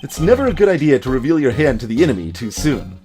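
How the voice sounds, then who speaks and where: A man's voice: mid-range voice, big pitch swings, quick pace, mellow, soft background music, natural voice. A man voicing a young male defense lawyer in a video game, dry, wry and hesitant. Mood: wary.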